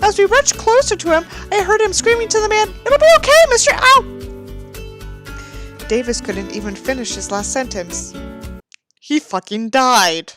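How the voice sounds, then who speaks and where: A woman reading a book aloud in a mocking, dramatic way, with incredulous, sweary asides. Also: medium pitch. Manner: dramatic, incredulous, expressive reactions. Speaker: reader and commentator of a badly written book.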